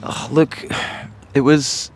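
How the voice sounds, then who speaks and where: A man with a Glasgow Scottish accent, a podcast guest talking casually, often pausing and restarting his sentences. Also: darkish tone. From Scotland. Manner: hesitant, many restarts and fillers. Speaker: podcast guest, charity founder. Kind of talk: casual interview chat.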